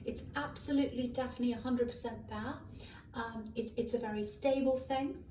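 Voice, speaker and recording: A hoarse woman giving a casual tarot reading, musing aloud with many ums and self-corrections, recorded on a faulty microphone. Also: on the high side, steady tone, a little fast, warm tone, a little hiss or hum. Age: adult. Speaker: tarot reader. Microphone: malfunctioning microphone at a distance. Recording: echoey room, far from a faulty mic. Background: faint passing cars.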